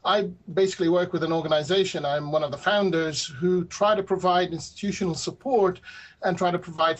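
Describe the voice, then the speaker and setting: A man from Syria, a history professor and panel guest, answering earnestly and repeating words when he is pressed. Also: fairly high pitch.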